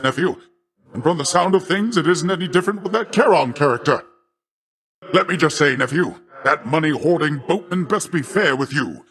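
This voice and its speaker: A boastful, jovial male god of the sea for a video game. His voice sits somewhat higher than most men's. He speaks in a grandiose, theatrical manner, like a hearty uncle who thinks very well of himself. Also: a bit bright. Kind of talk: video game character acting.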